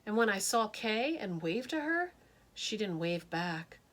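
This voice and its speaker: A woman, a teacher, reading a children's picture book aloud in an expressive storytelling manner. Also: mid-range voice, unhurried.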